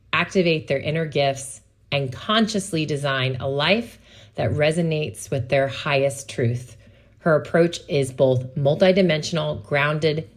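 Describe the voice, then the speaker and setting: A woman hosting a podcast, introducing her guest with gushing, enthusiastic praise. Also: sits low. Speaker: podcast host.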